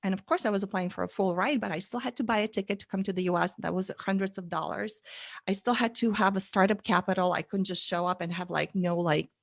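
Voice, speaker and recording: Woman, forties, earnest, gravelly voice, fillers, video call. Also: mid-range voice, steady tone, fast talker, dry recording, clean studio sound. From Bulgaria. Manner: earnest, storytelling, frequent fillers. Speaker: guest. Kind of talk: casual interview chat.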